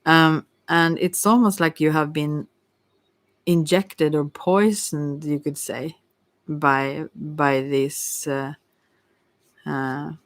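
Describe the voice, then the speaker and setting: A tarot reader giving an informal ASMR reading in a hesitant, rambling way with many pauses. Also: mid-range voice, fairly even intonation, dry recording. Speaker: Ayurveda therapist.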